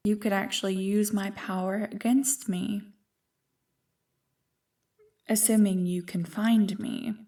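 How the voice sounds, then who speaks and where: A woman's voice: mid-range voice, a little mellow, distant mic, natural voice. A woman playing an ancient, manipulative evil creature in an ASMR roleplay, teasing and condescending as she savors her words. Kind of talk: ASMR roleplay, scripted monologue to the listener. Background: music.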